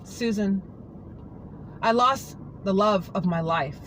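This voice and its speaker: Heartbroken anchorwoman pouring her heart out to a coworker, earnest and pleading, middle pitch, as a reader puts her on. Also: slow, fairly bright tone, a little hiss or hum. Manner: earnest, pleading, confiding to a colleague. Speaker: monologue reader. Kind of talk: dramatic monologue reading.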